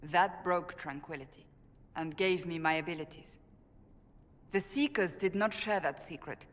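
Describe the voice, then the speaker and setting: A woman's voice: on the low side, a little slow, natural voice. A woman playing a stern, earnest warrior of a holy order in a fantasy game, speaking in a formal, intense way. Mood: grave. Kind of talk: dramatic fantasy game acting. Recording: reverberant hall with faint ambient noise.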